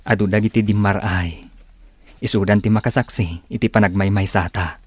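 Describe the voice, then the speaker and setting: A man's voice: lowish voice, fairly even intonation, normal speed, slightly rough, no echo. A man acting a young husband in an Ilocano radio drama, devoted but fond of drink. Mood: tender. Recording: music behind the voice.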